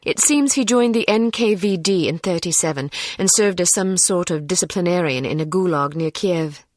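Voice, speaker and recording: An actress playing a determined, sharp-tongued young British spy in a video game, speaking in a dry, articulate way. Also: female voice, on the low side, moderate pace, no echo, lightly acted. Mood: matter-of-fact briefing.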